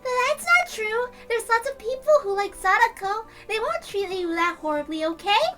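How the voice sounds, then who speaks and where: A woman's voice: very high voice, expressive, normal speed, bright, forceful tone, soft background music, voice pushed up, exaggerated voice. A woman voicing a sweet, cheerful, encouraging schoolgirl in a visual novel.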